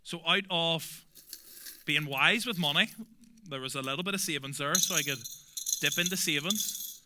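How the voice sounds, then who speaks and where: A young adult man from Belfast with a Belfast accent, preaching a sermon earnestly and emphatically, often dropping to soft, quiet endings. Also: on the high side, normal speed, very noisy. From Northern Ireland. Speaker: pastor.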